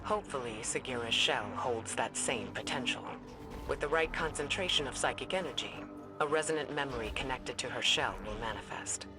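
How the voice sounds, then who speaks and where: A composed and resolute female mentor from a video game. She speaks gravely and deliberately, like a cautious scholar who commands spies, with music playing clearly behind her. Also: a bass voice. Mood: grave, explanatory. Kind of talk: scripted video game dialogue. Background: background music.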